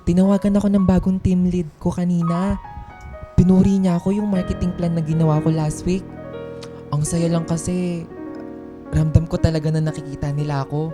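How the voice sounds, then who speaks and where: A woman's voice: fairly low pitch, little pitch movement, normal speed, pauses often, over music, lightly acted. A woman playing an earnest, self-doubting young office worker in a radio drama, speaking heartfelt, conversational Tagalog-style lines with a Filipino accent. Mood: excited.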